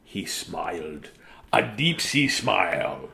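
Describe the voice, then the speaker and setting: A man's voice: sits low, very animated, slow, gravelly, sharp tone, slight background noise. A middle-aged man reads a children's bedtime story in a silly, sing-song way, breaking off for jokey asides. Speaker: storyteller and host. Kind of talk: playful bedtime story reading with joking asides.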